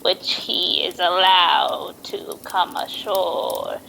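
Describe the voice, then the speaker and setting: A woman's voice: lowish voice, steady tone, drawn-out words, creaky, bright, forceful tone, slight background noise, full character voice, low-quality recording. A woman playing a sly, hundred-year-old witch who tells spooky tales in folksy rural dialect, in old-time radio style. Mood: sly, gleeful. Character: cackling ancient witch, rustic storyteller, aged over a hundred. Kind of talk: old-time radio horror host acting.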